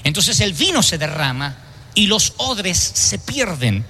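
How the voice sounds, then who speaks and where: A man's voice: sits high, very animated, slow, pushed and bright, breathy edge. A man preaching a sermon to a congregation, emphatic and fond of rhetorical questions. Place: church service.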